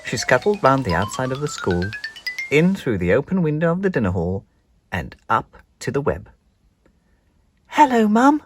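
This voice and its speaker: A storybook narrator reading aloud for young children in an expressive, scripted storytelling style. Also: mid-range voice, moderate pace, breathy edge, soft background music.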